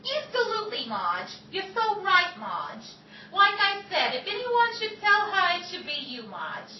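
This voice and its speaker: Very high female voice, wildly swooping, acted gushing gossip, vintage radio comedy, dull echoey sound, audience noise. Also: huge pitch swings, measured pace, recorded from a distance, full character voice. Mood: gushing, gossipy. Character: gossipy, flattering woman chattering on the telephone at work. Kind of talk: 1930s-style radio comedy acting.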